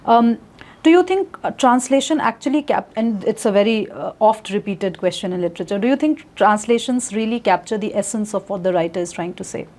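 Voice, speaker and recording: A woman's voice: medium pitch, lively intonation, fairly quick, a little mellow. A woman interviewer who asks hesitant questions with many fillers.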